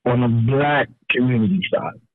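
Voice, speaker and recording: A man in his twenties calling in to a live show, speaking hesitantly with a halting start. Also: mid-range voice, fairly even intonation, no room sound. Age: 20s. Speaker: caller. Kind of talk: call-in question. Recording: tinny phone line, dry.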